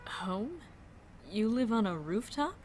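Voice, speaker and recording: A woman's voice: a little high, big pitch swings, takes it slowly, background noise. A woman voicing a flirtatious, playful costumed antiheroine in a comic book dub, with a coy, purring delivery. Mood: baffled, let down.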